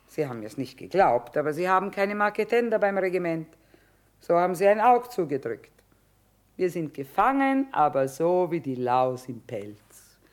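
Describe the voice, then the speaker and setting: A woman's voice: lowish voice, natural voice. A middle-aged actress playing a shrewd, earthy mother who trades among soldiers, blunt and dryly ironic. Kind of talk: stage-style radio drama acting.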